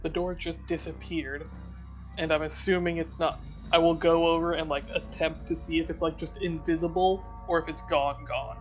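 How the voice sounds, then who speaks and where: A man playing a tabletop game, talking casually in character with a dry, deadpan manner. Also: fairly high pitch, normal speed, soft background music, rough recording.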